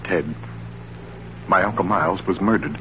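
Anguished husband, low male voice, urgent and confiding, 1940s radio suspense drama, dull vintage broadcast sound. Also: normal speed, fairly bright tone, a little hiss or hum. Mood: troubled, confiding. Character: anguished, secretive husband named Mark, suspecting his uncle was murdered. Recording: band-limited 1940s radio broadcast recording.

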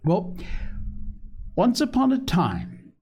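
Man reading as a good-natured storybook boy, slow-paced. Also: high-pitched, wildly swooping pitch, darkish tone, husky, pitched above the performer's own voice, exaggerated voice. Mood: reassuring, amused. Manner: patient, good-natured, folksy. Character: kindly boy storyteller, easygoing older brother.

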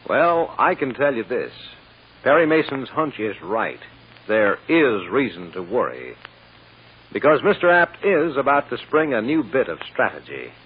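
Male radio announcer, very raspy, animated, dramatic scripted narration, muffled vintage recording. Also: mid-range voice, measured pace. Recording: dull old radio recording.

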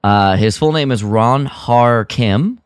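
A man's voice: mid-range voice, takes it slowly, no echo, studio quality. A man co-hosting a podcast, chatting casually and sometimes searching for his words. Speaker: podcast co-host. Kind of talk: casual chat about Star Wars lore.

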